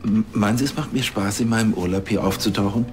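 A man's voice: on the low side, quick pace, natural voice. An actor playing a fussy off-duty policeman, defensive and stammering. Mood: defensive, huffy. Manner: stammering, huffy.

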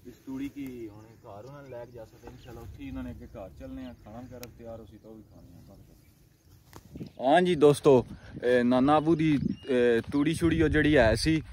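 A man's voice: mid-range voice, fairly even intonation, fairly quick, a little mellow. A young man in his twenties chatting casually to a phone camera as a vlogger, outdoors in a village, greeting his viewers as friends. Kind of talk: casual vlog chat to camera. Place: outdoors in a village, fields and courtyard. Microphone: phone camera at arm's length.

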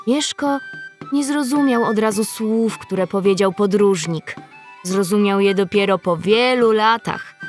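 A woman's voice: medium pitch, measured pace, soft background music. A woman narrator telling a scripted historical story in a radio drama.

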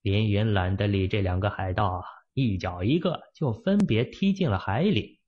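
A man's voice: moderate pace, slightly rough, dull audio. A man narrating a fantasy novel as an audiobook, telling the story expressively. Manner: expressive storytelling with lively pitch swings.

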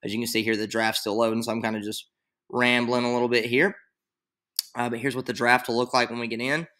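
A young man walks through a screen-share tutorial in loose, rambling talk full of fillers. His voice is bright and forward, at an ordinary middle pitch. He sits at a desk in a small room, close to his computer mic. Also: little pitch movement, fast. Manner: rambling, filler-heavy, thinks aloud. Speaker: fantasy league tutorial maker.

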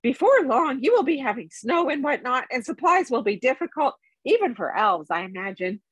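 A woman reading a storybook, playing a small, fussy, polite male hobbit, nervous but plucky, with a squeaky voice and chatty, hedging manner. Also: big pitch swings, normal speed, fairly bright tone, husky, no echo, heavily acted. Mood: earnest, persuasive.

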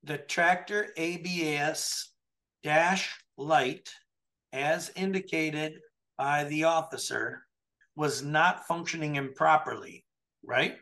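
Emphatic man coaching, bright voice. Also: a little high, slow pace. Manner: emphatic, keeps checking in with "right?". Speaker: trucking compliance coach. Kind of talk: coaching review over a video call. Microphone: webcam video call.

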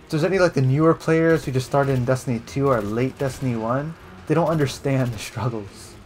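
A man's voice: medium pitch, a little fast, a little mellow, slight background noise. A young man casually commenting while playing a game, muttering and getting exasperated at enemies. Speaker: gamer commentating a playthrough.

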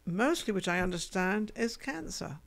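A woman's voice: mid-range voice, expressive, normal speed, vocal fry. An older woman hosting a studio interview, chatty and playful as she prompts her guest, speaking into a desk mic. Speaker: interviewer, host. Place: small studio with foam wall panels. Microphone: desk podcast mic.

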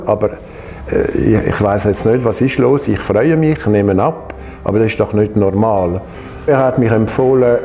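Gravelly older Swiss man, French accent. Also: on the low side, warm tone. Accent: French-speaking Swiss. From Geneva, Switzerland. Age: 60s. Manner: halting, frequent hesitations and restarts. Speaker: patient sharing his experience. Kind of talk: personal account to camera. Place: bright studio room, indoors.

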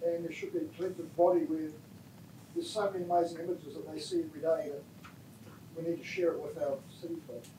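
Soft woman speaking from far in the audience. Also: low voice, fairly even intonation, fast talker, a little hiss or hum. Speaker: audience member. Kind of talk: audience comment. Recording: far mic, echoey hall.

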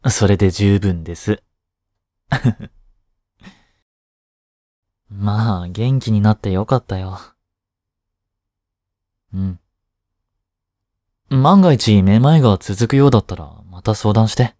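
A young man acting in an intimate voice drama as a caring tutor and medical student, soft, soothing and gently teasing as he scolds. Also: fairly low pitch, takes it slowly, pauses often, dry recording, clean studio sound. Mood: gentle, caring. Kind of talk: intimate one-sided voice drama aimed at women.

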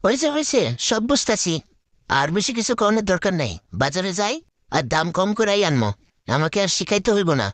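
A man's voice: high-pitched, big pitch swings, brisk, no room sound. A man playing a stingy, hot-tempered village husband in a cartoon, indignant and argumentative. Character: hot-headed, stingy village husband who haggles and snaps at everyone. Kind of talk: comic cartoon acting in Bengali.

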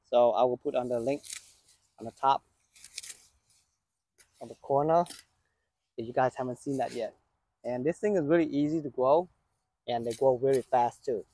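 A man giving a casual walkthrough of his outdoor vegetable garden while he harvests, filming on a handheld phone. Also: mid-range voice. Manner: relaxed, chatty, pointing things out while walking and harvesting. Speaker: gardening channel host. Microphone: handheld phone camera.

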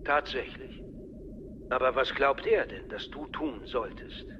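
A man's voice: medium pitch, big pitch swings. Fatherly older mentor, dull sound. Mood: probing, fatherly. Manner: measured, paternal. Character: fatherly, patient, subtly manipulative older male mentor. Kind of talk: radio play acting. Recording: dull sound, clearly noisy ambience.

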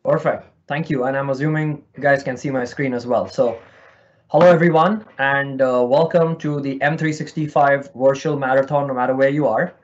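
A man's voice: medium pitch, steady tone, moderate pace, lo-fi sound. A man from India with a slight Indian accent, presenting a virtual conference session in a friendly, explanatory way over an online call. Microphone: remote call mic.

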